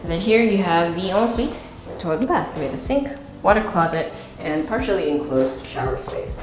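A woman's voice: on the low side, lively intonation, normal speed, background noise. A woman with a Filipino accent hosting a casual, chatty house tour as she walks through empty rooms. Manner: chatty, unscripted, switches into Tagalog asides. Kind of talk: casual guided property tour, presenting while walking. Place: empty rooms and stairwell indoors. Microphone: wireless body mic, pack at waist. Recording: echoey bare rooms, music underneath. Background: music.

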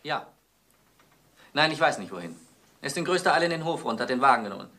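A man playing a puzzled, hurried nephew in a radio crime play, speaking with urgent questions. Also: mid-range voice, lively intonation, pushed and bright.

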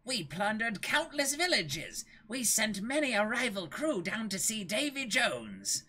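A man's voice: high voice, big pitch swings, unhurried, bright, forceful tone, pitched above the performer's own voice, exaggerated voice. A man playing a boastful, defiant pirate with theatrical delivery in a dramatic manga reading.

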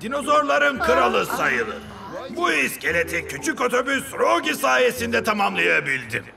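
An actor playing an enthusiastic, declaiming elderly grandfather and dinosaur expert in a cartoon dub, with a creaky voice. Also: very high-pitched, very animated, unhurried, over a noisy crowd, full character voice. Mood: grand, proud.

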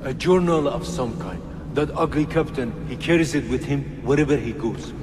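A man acts out a worn-down young laborer in game dialogue. He sounds plaintive and afraid of his captors, at a middling pitch. The voice rings in a long reverb over clear background noise. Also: expressive, moderate pace, natural voice. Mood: weary, resigned. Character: weary, frightened young male laborer digging for captors. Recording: echoey stone chamber, noisy ambience.

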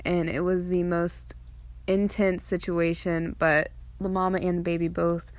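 A woman's voice: on the low side, level tone, measured pace, dry recording. A young adult woman narrating a personal vlog in a heartfelt, earnest way. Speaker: farm vlogger and mother. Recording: clearly noisy outdoor ambience.

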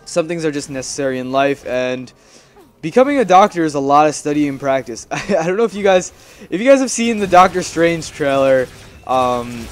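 A man's voice: fairly high pitch, expressive, moderate pace. A young man giving casual, rambling gameplay commentary, chatty and playfully repeating his phrases. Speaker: gaming video host.

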